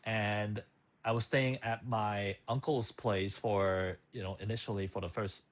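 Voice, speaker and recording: A man in his thirties talks straight to the camera about his own life, his voice sitting a little low. He is from Hong Kong. His delivery is loose and easygoing, full of fillers, restarts and small asides. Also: steady tone, a little slow. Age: 30s. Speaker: channel host. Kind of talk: talking to camera, casual personal story.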